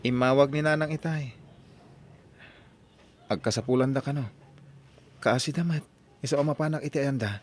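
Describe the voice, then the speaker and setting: A man's voice: medium pitch, moderate pace, long pauses, close to an everyday voice. A man playing a kind, dutiful grown grandson who speaks respectfully and earnestly in an Ilocano drama. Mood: concerned. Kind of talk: Ilocano radio-style drama acting.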